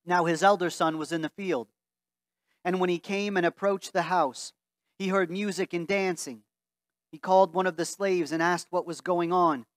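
A man's voice: high voice, fairly even intonation, normal speed, dry recording, studio quality. A man in his thirties, a pastor, preaching and reading scripture aloud earnestly in a church sanctuary. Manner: earnest, reads aloud from a Bible while standing. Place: church sanctuary before a stained-glass wall.

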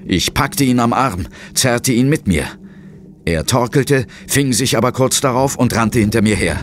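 A man playing a serious, brooding ghost hunter who narrates a horror audio drama gravely and dramatically. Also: mid-range voice, measured pace, a little hiss or hum, close to an everyday voice. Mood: tense, grave. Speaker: first-person narrator and hero.

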